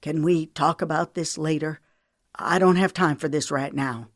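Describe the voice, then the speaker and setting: A woman's voice: sits low, normal speed, no room sound, studio quality. A woman voicing a weary, stubborn husband who talks in a curt, clipped way.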